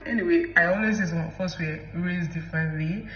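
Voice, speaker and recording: A man's voice: fairly high pitch, fairly quick, a little hiss or hum. A man with a Nigerian accent, talking casually to camera and explaining at length. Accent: Nigerian English. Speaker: vlogger. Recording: echoey, dull-sounding room.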